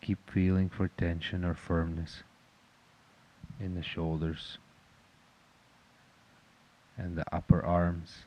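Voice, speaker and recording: A man leading a guided meditation in a calm, measured, instructional manner. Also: sits low, poor audio.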